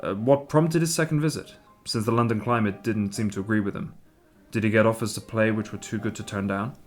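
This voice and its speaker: Radio play interviewer played by a man: inquisitive, polite, conversational, lowish voice, soft music behind. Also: steady tone, brisk, lightly acted. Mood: curious. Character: curious, polite man interviewing about a composer.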